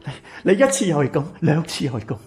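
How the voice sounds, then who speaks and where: A man acting a bitter, exploited grown son in a radio drama, with a Hong Kong Cantonese accent and an emotional, breathy delivery. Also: fairly high pitch, sing-song, quick pace, exaggerated voice. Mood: mocking, menacing. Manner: emotional, stammering, swinging from shouts to whispers. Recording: music behind the voice.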